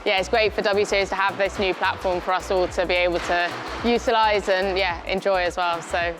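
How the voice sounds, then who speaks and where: Relaxed British woman driver. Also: mid-range voice, fairly quick, rough recording. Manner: relaxed, lots of "yeah" and "um". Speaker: racing driver. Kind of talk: casual interview answers. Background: music.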